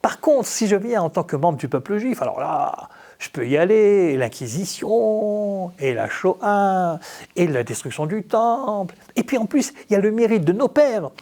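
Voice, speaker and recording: A man's voice: sits high, big pitch swings, moderate pace, warm tone. A man from France, a rabbi giving an informal religious talk in a conversational, humorous storytelling manner, with rhetorical questions. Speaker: rabbi teaching.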